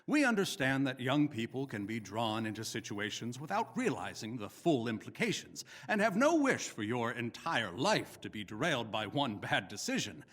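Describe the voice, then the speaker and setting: Bitter older male game villain: formal, menacing, very animated intonation, big reverberant space. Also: medium pitch. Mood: stern, official. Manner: contemptuous, formal, deliberate menace. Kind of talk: dramatic video game voice acting.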